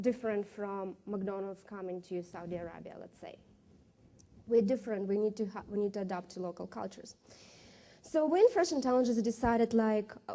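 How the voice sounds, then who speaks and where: A woman's voice: medium pitch, warm tone. A woman presenting market research at a conference, explaining her points with frequent fillers and restarts.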